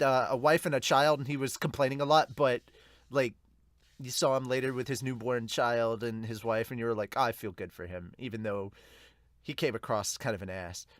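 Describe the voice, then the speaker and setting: A man hosting a manga reaction show in a casual, excitable, rambling way. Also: mid-range voice, no room sound, clean studio sound. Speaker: podcast host reviewing manga.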